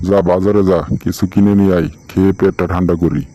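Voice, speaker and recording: A man voicing a gruff, short-tempered, bossy tiger uncle in a comic cartoon. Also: low voice, little pitch movement, quick pace, mellow, no echo, natural voice. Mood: angry, grumbling.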